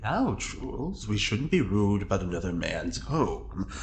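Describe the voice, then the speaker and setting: Guy doing a courtly playful heist man, drawn-out swoopy delivery, audio drama, slightly noisy. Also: lowish voice, sing-song. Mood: genteel, obliging. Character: gleeful, genteel, theatrical male ex-heist schemer.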